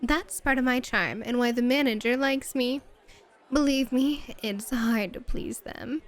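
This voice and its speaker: A woman acts as a flirty bunny-club hostess with a fairly high voice. She is playful and dominant, teasing her guest with coquettish, drawn-out phrases in an intimate ASMR roleplay. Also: natural voice. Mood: flirty, confident.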